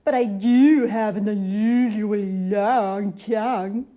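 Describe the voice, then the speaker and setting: A woman's voice: medium pitch, slow, mellow, highs cut off, lightly acted. A woman voicing a big scruffy boy monster from a storybook, slobbery and whiny, drawling out mock menace. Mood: slurping. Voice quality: slobbery, slurping.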